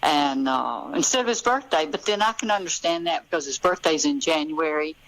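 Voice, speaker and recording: A woman with a Southern American accent answering interview questions as a novelist, chatting casually and thinking aloud in short, halting phrases. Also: deep voice, animated, fairly bright tone, rough recording. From Memphis, southern United States.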